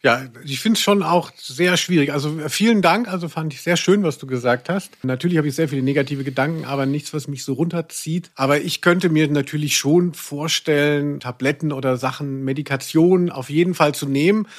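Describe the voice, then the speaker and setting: A man's voice: a little high, a little fast, few pauses. A man co-hosting a casual podcast discussion in a reflective way, searching for his words. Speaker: podcast co-host.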